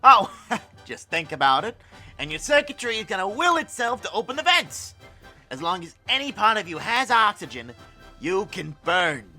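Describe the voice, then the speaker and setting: An excitable, fatherly inventor in a cinematic audio drama. His voice is sharp and bright, leaping in pitch as he chatters and exclaims, and slowing at tender moments. Music plays underneath. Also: full character voice. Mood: excited.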